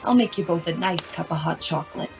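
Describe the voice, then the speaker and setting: A woman's voice: lowish voice, quick pace, gravelly, music in the background. A woman in an audio play acting a caring, resourceful wife who fusses kindly over everyone. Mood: worried, fussing. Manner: fussing, kindly.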